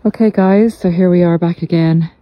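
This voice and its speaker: A woman with an Irish accent giving a casual, explanatory monologue in a measured, halting way while out on a walk. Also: on the low side, fairly even intonation, dull audio. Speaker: podcast host and narcissism recovery coach. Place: outdoors on a coastal cliff walk. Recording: noisy outdoor handheld recording.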